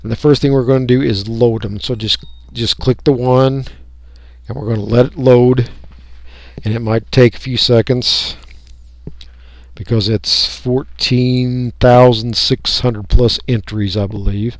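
A man's voice: medium pitch, fairly even intonation, a little slow, no room sound. Man, casual tutorial, dry close sound. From United States. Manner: unscripted, folksy, explaining each click. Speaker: radio hobbyist giving a tutorial. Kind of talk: casual step-by-step software tutorial. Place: indoors, voice-over for a screen recording.

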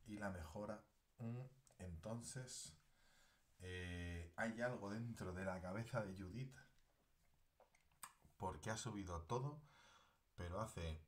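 Deep-voiced man rambling to followers. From Spain. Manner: rambling, thinking aloud while working a device, at times muttering half-whispered. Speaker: alternative healer. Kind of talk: casual live video talk to followers.

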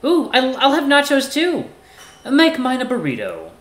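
A man's voice: extremely high pitch, wildly swooping pitch, normal speed, fairly bright tone, roomy sound, voice pushed up, heavily acted. A man voicing a jittery, melodramatic male cheetah who pleads in exaggerated panic for a visual novel style story. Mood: eager.

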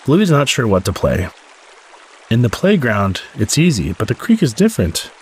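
A man reading a children's storybook aloud as the host, in expressive narration with a slightly husky voice. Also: mid-range voice, moderate pace, slight background noise. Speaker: story podcast host and reader.

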